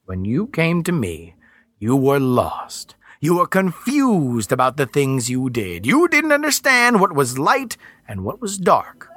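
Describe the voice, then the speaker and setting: An ancient female forest spirit, vast and wrathful, stretching each word out slowly with a menace that swings between sweetness and thunder, as a male audiobook narrator puts her on. The pitch rolls widely, and the voice sits dry and close with no room around it. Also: huge pitch swings, fairly bright tone, dry recording, heavily acted. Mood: commanding. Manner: slow, drawn-out, menacing. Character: commanding female earth spirit, slow and wrathful.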